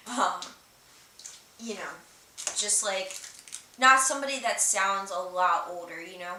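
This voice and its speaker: Bright-voiced woman in a roomy, hissy recording. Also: medium pitch, slow, pauses often. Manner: rambling, informal, many hesitations and "like". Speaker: livestream host. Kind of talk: casual livestream chat, explaining and reading out viewer comments. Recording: roomy, distant mic with faint hiss.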